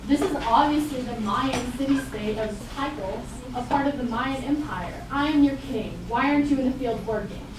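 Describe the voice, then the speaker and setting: A woman's voice: high-pitched, moderate pace, hardly any pauses, lightly acted. A woman playing a bossy elder of an ancient kingdom in a scripted puppet show. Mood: imperious. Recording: very echoey room, distant mic, clear noise.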